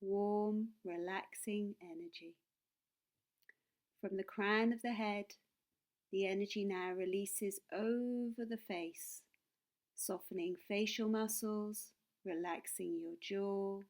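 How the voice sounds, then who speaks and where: Slow, calming woman guiding meditation. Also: mid-range voice. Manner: calm, soothing, deliberate with pauses. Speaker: meditation and yoga teacher. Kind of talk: guided meditation.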